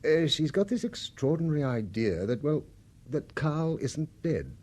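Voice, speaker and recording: An actor playing an anxious, courteous financier in a radio drama, speaking earnest British English with polite hesitations. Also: male voice, fairly high pitch, animated, a little slow, a little mellow. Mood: earnest, worried. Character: anxious, courteous financier worried about his highly strung sister.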